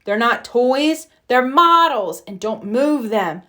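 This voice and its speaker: A woman voicing a loud, defensive young boy bear cub in a storybook, protesting insistently. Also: fairly high pitch, expressive, slow, heavily acted.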